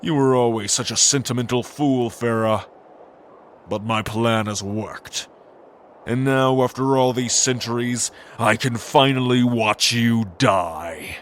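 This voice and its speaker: A man's voice: medium pitch, takes it slowly, slight background noise, natural voice. A man playing a cruel, centuries-old villain lord in a fantasy audio drama, mocking and pompous. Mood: gloating, contemptuous.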